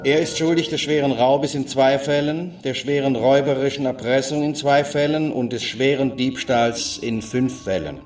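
A man's voice: mid-range voice, darkish tone, soft background music, natural voice. A man playing a stern judge who reads out a verdict in a formal, impersonal manner in a radio play. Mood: stern, official. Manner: official, measured, impersonal. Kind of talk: formal courtroom reading. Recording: distant microphone, noisy courtroom ambience.

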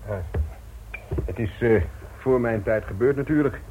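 An actor playing a flippant small-town newspaper editor in a radio play, chatty and mock-pompous with florid, ironic phrasing. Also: male voice, medium pitch, a little slow, a little hiss or hum, lightly acted. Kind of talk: Dutch radio play acting. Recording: dull old radio recording.

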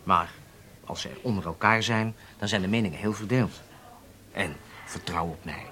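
A man's voice: sits low, normal speed, bright, forceful tone. An actor in a Dutch radio drama playing a self-assured, impatient undercover spy, speaking emphatically as if lecturing his handlers. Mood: dismissive, confident.

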